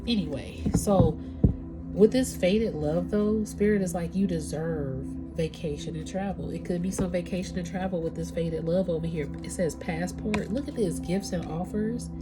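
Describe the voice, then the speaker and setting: A woman's voice: lowish voice, moderate pace, over loud music. A woman with a stuffy, congested nose, giving a casual spiritual reading to her audience and now and then searching for the right word. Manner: casual, conversational, searching for words. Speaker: tarot reader.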